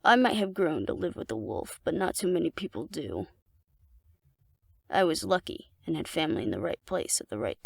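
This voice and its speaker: A woman's voice: low-pitched, gravelly, no echo, clean studio sound, exaggerated voice. A woman playing a cocky, flirtatious female alpha werewolf in an intimate audio roleplay, speaking to the listener in a wry, teasing, confident way. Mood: brooding, guarded.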